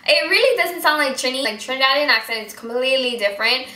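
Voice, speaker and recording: A young woman vlogger from Guyana talks in a loose, rambling way, mostly with an American accent and traces of a Guyanese one. Her voice is bright and sits a little high. The room around her is echoey. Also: quick pace. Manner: rambling and chatty, with many fillers and restarts. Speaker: beauty vlogger. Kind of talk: casual vlog chat.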